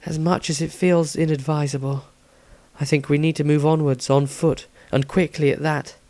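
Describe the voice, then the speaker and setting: A man voicing a solemn, weary, compassionate priestess in a fantasy storybook, with measured, formal wording. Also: a little high, level tone, warm tone, no echo, pitched above the performer's own voice, lightly acted. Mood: weary, urgent.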